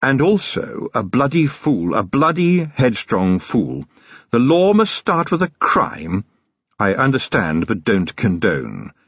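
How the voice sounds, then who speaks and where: A man's voice: mid-range voice, very animated, slow pace, a bit bright, slightly rough, no echo, highs cut off, pitched above the performer's own voice, heavily acted. A man voicing a cold, ironic middle-aged city tyrant who speaks in a dry, precise, sardonic way.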